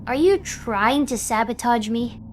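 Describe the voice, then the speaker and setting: A woman's voice: a little high, expressive, a little slow, slight background noise. A woman in an audio drama playing a headstrong, driven young idol with a blunt, insistent manner. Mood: angry. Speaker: voice actor in fan audio drama.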